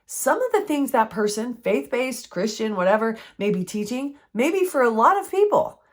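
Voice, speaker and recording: A woman with a froggy, hoarse voice from recent illness, giving an earnest, conversational faith-based health teaching. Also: on the high side, sing-song, moderate pace. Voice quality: froggy, strained voice.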